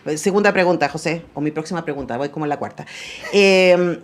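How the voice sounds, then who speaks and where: A woman's voice: low voice, brisk, a little hiss or hum. A woman hosting a conversational interview, thinking aloud and checking in with small tag questions. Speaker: radio host interviewing an author. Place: radio studio.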